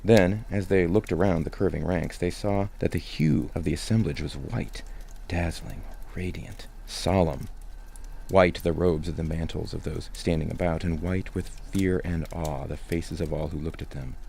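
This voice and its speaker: A male narrator reads a literary tale in a deep, dark-toned voice. There is a noticeable creak in it. Soft music sits underneath. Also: fairly even intonation, moderate pace, slight background noise. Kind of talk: scripted storybook narration.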